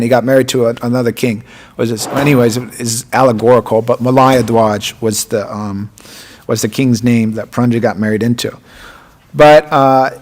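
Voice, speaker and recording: Casting: male speaker, scripture class lecturer, unscripted, hesitant, restarts often. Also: mid-range voice. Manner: halting, many restarts and fillers. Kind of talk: morning scripture class talk.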